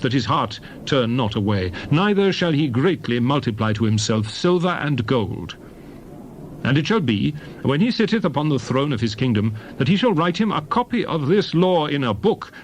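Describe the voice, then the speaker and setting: A solemn male prophet, acted by a man, proclaims sacred law in a dramatized Bible reading. His phrasing is deliberate and declamatory, with lively rises and falls in pitch. A light layer of noise sits behind the voice. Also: close to an everyday voice.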